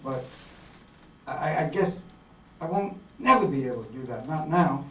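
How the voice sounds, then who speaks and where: A man's voice: medium pitch, expressive, normal speed, voice pushed down, close to an everyday voice. A man playing an earnest, idealistic young farm-born outlaw in folksy rural dialect, in a staged radio drama reading. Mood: regretful. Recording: roomy hall, distant mic, faint noise.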